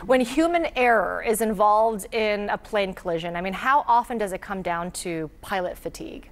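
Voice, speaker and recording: Female news anchor, mid-pitched, conversational questioning style for a live broadcast interview. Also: normal speed.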